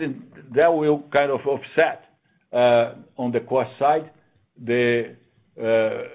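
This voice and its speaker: A man with a mid-pitched voice on a narrow, phone-like line. He talks as an executive answering questions unscripted, halting and doubling back on words, but emphatic and sure of himself. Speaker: company executive answering analysts. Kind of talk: unscripted earnings call answers. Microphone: conference call line.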